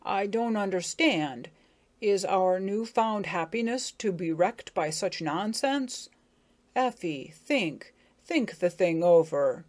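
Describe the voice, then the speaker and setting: A woman's voice: medium pitch, expressive, slow pace, a little mellow. A woman voicing an earnest, self-pitying male dung beetle who talks pompously and stammers. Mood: bewildered.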